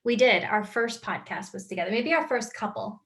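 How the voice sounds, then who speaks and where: A woman with a Long Island accent hosts a casual podcast with a co-host. Her voice sits at a middle pitch. She talks in animated bursts and laughs often. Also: moderate pace, background noise. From United States. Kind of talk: casual chat.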